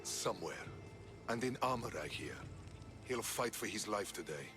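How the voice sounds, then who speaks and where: An actor playing a proud, battle-worn Spartan soldier in a video game, terse and guarded. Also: male voice, fairly low pitch, animated, moderate pace, music in the background, natural voice. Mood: guarded, grim. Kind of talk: cinematic game acting.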